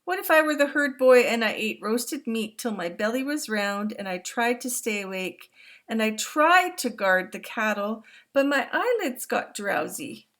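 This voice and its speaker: Small worried boy in a read-aloud storybook, asking eager questions, high and bright, played by a grandmother. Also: expressive, a little slow. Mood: pleading. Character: young Maasai boy, curious and anxious. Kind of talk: read-aloud picture book.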